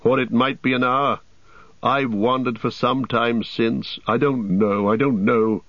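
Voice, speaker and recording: A man voicing a grim, troubled male warrior who answers slowly and evasively, for a storybook. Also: medium pitch, fairly even intonation, no echo, lo-fi sound. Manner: slow, evasive, sombre.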